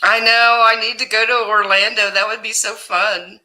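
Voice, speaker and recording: A woman hosting a casual livestream, greeting viewers by name in a loud voice that carries, chatting and laughing easily. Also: on the high side, level tone, normal speed, low-quality recording. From Ohio, USA. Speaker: livestream host.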